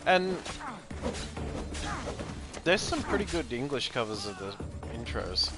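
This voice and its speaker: A man's voice: mid-range voice, sing-song, slow. A man giving casual gameplay commentary, ranting in an opinionated, profane way. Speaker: gaming commentator. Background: loud game music.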